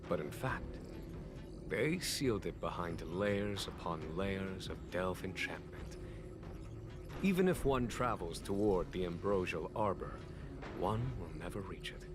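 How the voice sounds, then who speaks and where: An actor voicing a grave, learned general in a game, recounting ancient history in measured, formal speech. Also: male voice, low-pitched. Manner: measured, formal, weighty storytelling. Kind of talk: solemn scripted game dialogue. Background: game music.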